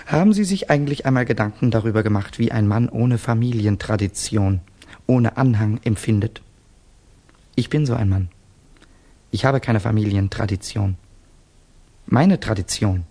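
A man playing a brooding, embittered Russian student in a German radio play, speaking with rhetorical intensity and dramatic pauses. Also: fairly low pitch, dark tone. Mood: bitter, tense.

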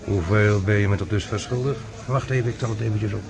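An actor playing a suave, taunting gambler in a radio drama, mock-courteous and smug. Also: male voice, on the low side, fast talker, vocal fry. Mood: coolly calculating. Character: suave, taunting male gambler, coolly gloating over his victim. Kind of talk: radio drama acting in Dutch. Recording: radio play with crowd noise behind.

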